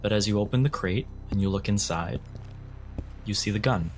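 Male game master, fairly low voice, deliberate descriptive narration for a tabletop role-play podcast, music underneath.

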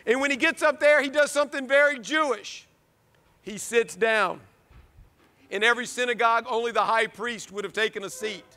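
High-pitched, forceful preaching man. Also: big-room sound. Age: middle-aged. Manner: emphatic, exhortative, with rhetorical questions and commands. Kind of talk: homily, preaching. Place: outdoors at an altar before a church building.

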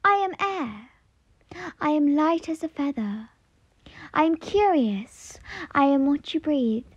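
A woman voicing air as a living element, a proud, gentle, free spirit, in a poetic dramatic monologue of short, deliberate declarations. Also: sits high, animated. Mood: light, airy.

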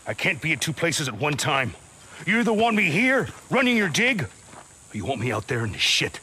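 An actor playing a weary, resentful camp work boss in a video game cutscene, blunt and argumentative. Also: male voice, fairly high pitch, very animated, normal speed, sharp tone, slightly rough, exaggerated voice. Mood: exasperated.

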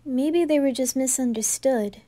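Kind young anime boy, female performer: high voice, slow soft delivery, reassuring and sincere. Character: gentle, kind-hearted, fearless young boy adventurer. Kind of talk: anime fan roleplay ASMR.

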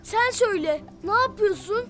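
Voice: extremely high pitch, lively intonation, normal speed. A performer voicing a small, eager, suspicious young animal detective in a children's cartoon, speaking in a dramatic, inquisitive way. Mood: accusing, indignant. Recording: music playing behind the voice. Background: cartoon background music.

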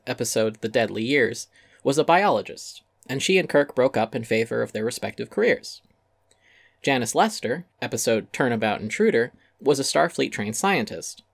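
A man's voice: medium pitch, vocal fry, no room sound. Man reading an essay, dry close sound. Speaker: essay narrator. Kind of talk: dramatic reading of an essay, scripted narration.

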